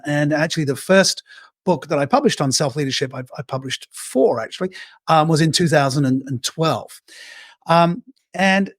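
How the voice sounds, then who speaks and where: A middle-aged man from England with an Australian-tinged English accent, speaking as an interview guest over a video call and acting out quoted thoughts in lively asides. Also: on the high side, no room sound. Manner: animated storyteller, acts out quoted inner dialogue. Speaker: guest speaker on self-leadership. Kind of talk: conversational interview answers. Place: bright room with arched windows, indoors.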